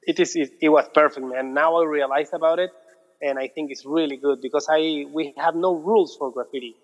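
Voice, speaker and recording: A man in his thirties from Argentina with an Argentine Spanish accent, chatting casually as an interview guest, relaxed and repeating his words. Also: on the high side, a little fast. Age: 30s. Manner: relaxed, repeats words, non-native phrasing. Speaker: guest, muralist. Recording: dull audio with long reverb.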